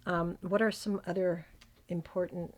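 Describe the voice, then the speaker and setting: A woman's voice: lowish voice, little pitch movement, unhurried. A woman hosting a casual podcast, talking with a lisp after dental surgery. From United States. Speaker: podcast host.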